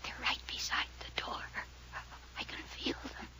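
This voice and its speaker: A woman's voice: normal speed, natural voice, poor audio. An actress playing a frightened young woman who pleads and whispers in fear, in 1940s radio drama style. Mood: whispering. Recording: old radio transcription with faint hiss.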